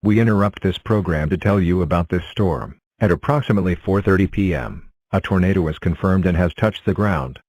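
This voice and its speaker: A man with a low-pitched voice serves as an emergency alert announcer. He reads scripted severe weather bulletins in a formal, measured and impersonal way. The sound is dry, with no room echo. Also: darkish tone.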